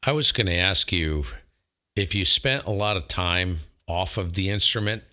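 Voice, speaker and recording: A man's voice: deep voice, moderate pace, pushed and bright. A man chatting casually over ham radio in an enthusiastic, emphatic way.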